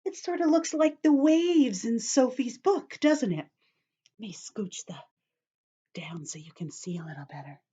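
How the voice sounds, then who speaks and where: A woman teacher talks young children through a calm-down drawing activity, slow and unhurried, her pitch swinging up and down as she encourages them. The sound is dull and lo-fi, like a home video recording. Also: slightly rough. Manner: gentle, encouraging, talks directly to kids.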